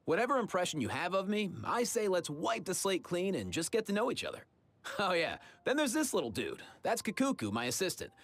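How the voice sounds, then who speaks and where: An actor playing a friendly, easygoing young adventurer in a video game, with an upbeat, casual, chatty manner. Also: male voice, high voice, very animated, a little fast, a bit bright, exaggerated voice. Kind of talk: video game character lines.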